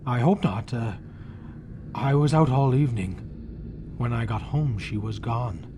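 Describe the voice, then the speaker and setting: An actor playing a panicked, guilty husband in 1940s radio horror style, melodramatic, stammering and dropping into breathless whispers. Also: male voice, mid-range voice, a little slow, background noise, natural voice. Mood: nervous, evasive. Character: panicked guilty husband confessing his crime. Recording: old 1940s radio broadcast, loud music behind.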